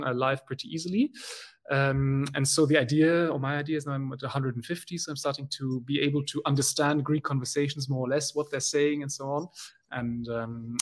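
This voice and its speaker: A man from Belgium, speaking as a guest in a casual conversation, often pausing with fillers and restarting his sentences. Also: on the high side. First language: German. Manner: hesitant, many fillers and restarts.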